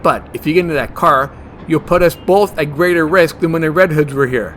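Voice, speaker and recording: Fussy higher-voiced monster hunter, acted by a man. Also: brisk, fairly bright tone, a little hiss or hum, natural voice. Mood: insistent. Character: fussy germ-phobic monster hunter, male, stubborn and smooth-talking. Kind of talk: comedy horror audio drama acting.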